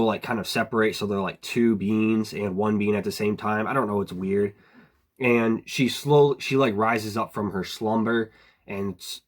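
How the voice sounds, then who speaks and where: A man with a lowish, slightly hoarse voice, just getting over a cold. He reviews horror books in a relaxed, rambling way, full of "like" and "um", and clears his throat now and then. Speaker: book reviewer. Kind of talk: casual book review vlog.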